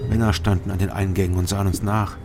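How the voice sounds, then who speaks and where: A low-voiced, gravelly man in a radio crime drama, terse and sober as he tells his own story. He keeps things guarded and understated, with prominent music behind him. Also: level tone, quick pace. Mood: sober narration. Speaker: narrator and lead character. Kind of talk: radio crime drama, first-person narration.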